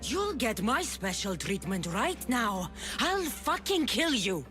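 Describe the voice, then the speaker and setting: A woman's voice: fairly high pitch, very animated, takes it slowly, music in the background. A woman playing a tough, protective young mercenary in a video game, dry and defiant. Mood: fierce, threatening.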